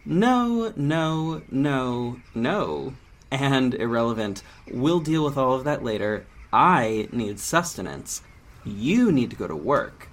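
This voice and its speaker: A man speaking drama dialogue in a brisk, evasive, joking way. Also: mid-range voice, very animated. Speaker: lead character in dialogue.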